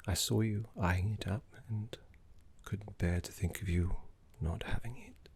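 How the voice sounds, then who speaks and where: A man playing a gentle, scholarly necromancy teacher in an ASMR roleplay, speaking in a soft, breathy whisper with courteous, soothing, measured manners. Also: on the low side, a little hiss or hum. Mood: fond, gentle.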